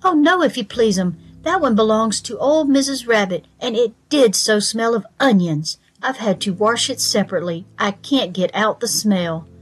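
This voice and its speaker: A woman's voice: a little high, animated, normal speed, few pauses, husky. A woman voicing a stout little hedgehog washerwoman from a children's storybook, anxious and deferential, with servile, rustic politeness. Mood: fussy, chatty. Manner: bobbing, servile politeness with rustic turns of phrase.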